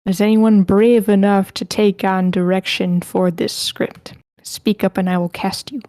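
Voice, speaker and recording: Woman, casual online table-read host, mellow tone, very dry close recording. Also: mid-range voice, level tone, a little slow, no room sound. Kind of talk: casual livestream hosting. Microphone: close mic with a sock over it, online voice call.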